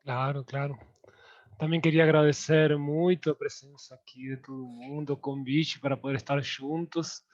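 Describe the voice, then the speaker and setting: A man from Argentina with an Argentine Spanish accent, speaking as a guest artist in a relaxed, digressive way with many hesitations. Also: fairly high pitch, moderate pace, muffled recording. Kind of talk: online panel talk.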